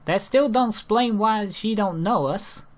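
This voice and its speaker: A man puts on a country pony mare for a cartoon story. The voice sits higher, drawls slowly, and sounds blunt, folksy and puzzled.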